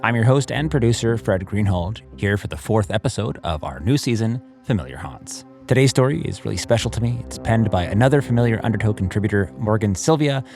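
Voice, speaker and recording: A man's voice: on the low side, lively intonation, brisk, hardly any pauses, darkish tone, soft background music. A man speaking as host and producer, giving a scripted introduction to a fiction podcast.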